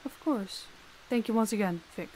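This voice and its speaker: A woman's voice: medium pitch, normal speed, darkish tone, natural voice, rough recording. Audio drama: actress as demanding female underworld boss, mid-range voice, formal, authoritative, faint noise. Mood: cool, polite. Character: commanding, demanding female crime boss, coolly businesslike. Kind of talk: roleplay audio drama acting.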